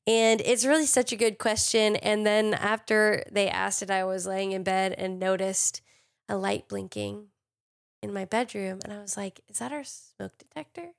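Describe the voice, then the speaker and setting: A woman chemist hosting a casual podcast, slightly nasal from a cold, upbeat and quick to laugh. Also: mid-range voice, fairly even intonation, vocal fry, no echo, clean studio sound. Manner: laughs often, upbeat and chatty.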